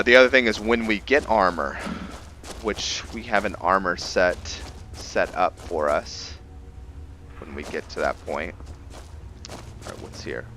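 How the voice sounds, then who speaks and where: A man's voice: low-pitched, sharp tone, slight background noise. A man giving casual, rambling let's-play commentary as a gaming host.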